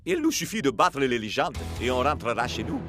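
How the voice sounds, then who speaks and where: A man playing a confident, loyal young teammate in an animated series, speaking with reassurance. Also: medium pitch, brisk, over music. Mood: confident, resolute. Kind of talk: animated series dub acting.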